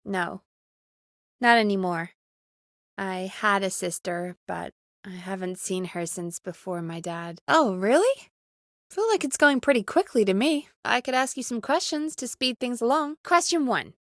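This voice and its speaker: A woman playing an earnest, anxious young small-town radio producer in a video game, speaking in a conversational, expressive way. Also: mid-range voice, unhurried, no room sound, studio quality. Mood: subdued, wistful, then light, chatty. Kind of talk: naturalistic video game voice acting.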